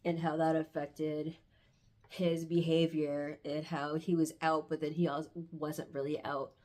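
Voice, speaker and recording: Woman, low voice, congested with hay fever, casual book-review chat, reflective, halting. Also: a little mellow. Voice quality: stuffy, congested nose.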